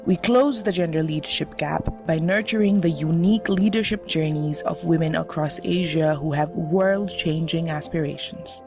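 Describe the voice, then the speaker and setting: Gravelly voice-over narrator over music. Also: medium pitch, normal speed, hardly any pauses. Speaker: promo video narrator. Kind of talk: scripted narration.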